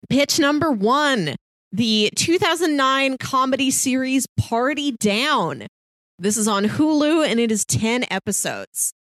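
A woman co-hosting a podcast, chatting casually and pitching shows to her co-host. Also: fairly bright tone, dry recording.

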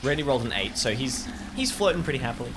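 A man's voice: medium pitch, expressive, quick pace. A man chatting casually as a player in an improvised tabletop game, describing what his character does. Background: music.

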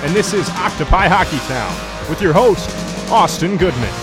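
A man's voice: on the high side, animated, moderate pace, over loud music. A man reading a promotional radio show intro as station announcer.

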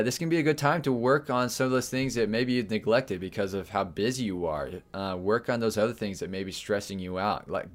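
A young man hosting a podcast, talking casually with lots of fillers and restarts. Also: on the low side. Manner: rambling, frequent fillers and restarts. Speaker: podcast host. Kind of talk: casual solo podcast talk.

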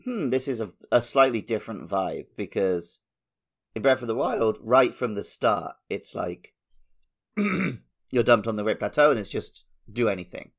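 A man's voice: fairly low pitch, lively intonation, a little slow, gravelly. A man co-hosting a podcast, chatting casually about a video game and often searching for his words as he goes. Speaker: podcast co-host.

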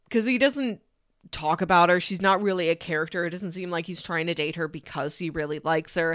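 A woman with a slightly low voice talks casually as a podcast co-host. She retells things in an animated, conversational way, slipping into imagined quotes. The sound is dry and free of room echo. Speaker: podcast co-host discussing a film. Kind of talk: casual film discussion.

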